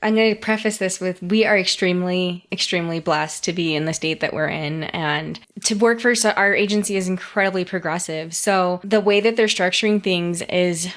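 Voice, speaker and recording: A woman's voice: fairly low pitch, moderate pace, hardly any pauses. An American woman chatting casually as a podcast co-host, telling stories in an informal, giggly way. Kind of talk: casual chat.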